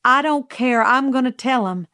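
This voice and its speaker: A woman's voice: high-pitched, moderate pace, bright, forceful tone, no echo, clean studio sound, voice pushed up. A woman voicing a brusque, commanding Southern housekeeper in an audiobook, with emphatic delivery and a Southern US accent. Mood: shouting, insistent. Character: forceful, no-nonsense Southern housekeeper woman, exasperated then urgent. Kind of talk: audiobook character voice.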